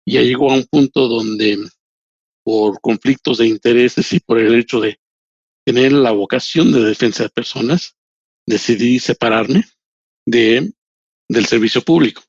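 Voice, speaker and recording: Man, husky voice, slow deliberate second-language speech, dry dull lo-fi sound. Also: mid-range voice, no echo. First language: not Spanish. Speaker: human rights lawyer and professor. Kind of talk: interview answers.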